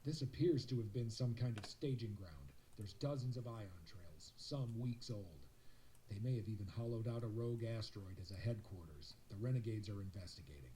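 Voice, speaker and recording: A man playing a calm, wry, businesslike starship officer in a sci-fi audio drama. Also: lowish voice, normal speed, slight background noise, natural voice. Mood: matter-of-fact.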